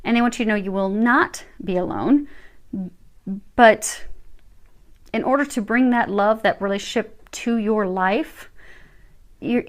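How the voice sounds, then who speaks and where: A woman tarot reader giving an informal, reassuring card reading, speaking haltingly and repeating her phrases as she offers spiritual advice. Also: mid-range voice.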